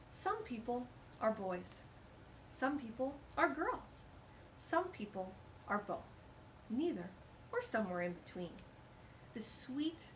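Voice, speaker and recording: A woman's voice: mid-range voice, a little hiss or hum, low-quality recording. A woman hosting a children's storytime, reading a picture book aloud with gushing, exclamatory asides.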